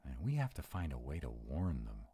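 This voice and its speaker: A man playing a sarcastic, protective vampire in a roleplay audio drama, wary and quick-witted, talking to unseen companions. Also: extremely low pitch, wildly swooping pitch, dark tone, a little hiss or hum, exaggerated voice. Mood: wary, uneasy.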